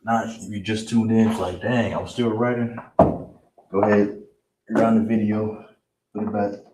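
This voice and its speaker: A man teaching a live-streamed online language class in a relaxed way, often checking in with "you understand?" and "all right?". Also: lowish voice, unhurried. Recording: roomy, distant, faint hiss.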